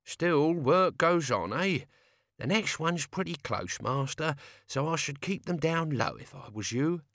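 A man's voice: a little high, expressive, a little slow, fairly bright tone, dry recording, studio quality, voice pushed up. A man voicing an old male servant in a grumbling, matter-of-fact way.

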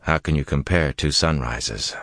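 A man reading an audiobook, voicing a troubled, weary young man who sounds exasperated and gruff. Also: rumbling low, moderate pace, fairly bright tone, no room sound, studio quality.

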